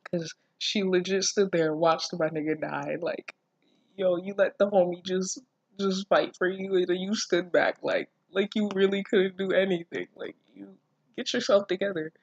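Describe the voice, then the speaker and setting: A person reading fan fiction aloud casually, breaking off for expressive, incredulous asides full of swearing. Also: medium pitch, moderate pace, gravelly, no room sound, dull audio. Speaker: online reader reacting to fan fiction. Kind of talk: casual read-aloud with running commentary.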